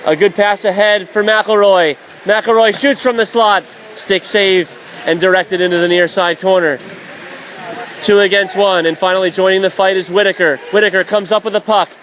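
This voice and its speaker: A man calling live ice hockey play-by-play for radio, excitable and raising his voice on big plays. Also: sits high, normal speed, low-quality recording. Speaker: play-by-play commentator. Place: indoor ice rink broadcast position. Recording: live arena commentary with faint crowd noise. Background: faint crowd and rink noise.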